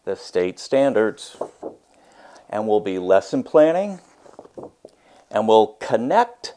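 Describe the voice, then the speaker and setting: A man teaching and reading a storybook aloud with deliberate, emphatic, expressive phrasing. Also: lowish voice.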